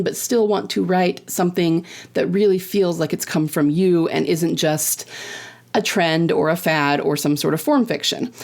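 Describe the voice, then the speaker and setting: Low gravelly woman podcast host. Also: darkish tone. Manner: chatty, often checks in with "right?" and "you know"; upbeat, laughs between points. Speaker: podcast host and fiction writing teacher. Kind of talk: casual podcast teaching.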